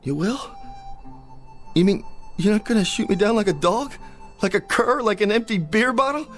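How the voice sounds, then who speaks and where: An actor playing a vain, panicky television cowboy star who babbles theatrically. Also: male voice, high voice, moderate pace, fairly bright tone. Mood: pleading. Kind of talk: radio drama acting. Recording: radio drama with music behind.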